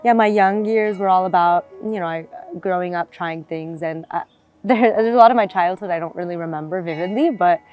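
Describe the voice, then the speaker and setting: A woman's voice: fairly low pitch, a little fast, darkish tone. Reflective young woman telling her story. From South Florida, United States. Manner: reflective, with frequent fillers and restarts. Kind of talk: personal storytelling interview. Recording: music playing behind the voice.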